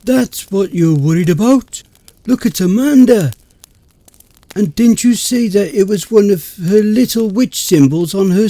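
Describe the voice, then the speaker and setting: A man voicing a panicked young male friend who talks loudly and urgently. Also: high-pitched, no room sound, pitched above the performer's own voice. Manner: frantic, loud, urgent.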